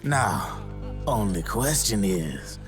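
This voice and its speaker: An actor playing a slick, jaded gun launderer in a video game cutscene, with slangy, folksy showmanship. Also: male voice, on the low side, sing-song, takes it slowly. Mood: animated, grandiose. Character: slick, jaded male gun launderer, former child soldier. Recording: loud music under the voice.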